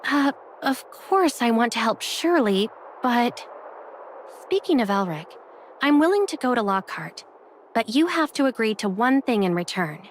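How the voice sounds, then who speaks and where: A woman's voice: fairly high pitch, very animated, unhurried, slight background noise. A woman voicing a skeptical young protagonist in an anime game, guarded and questioning in manner. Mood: hesitant.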